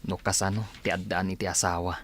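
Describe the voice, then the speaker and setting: A man's voice: low-pitched, flat delivery, fast talker, fairly bright tone, slight background noise. A man with a northern Philippine accent playing an earnest young man in a radio drama, plain and conversational. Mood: pensive.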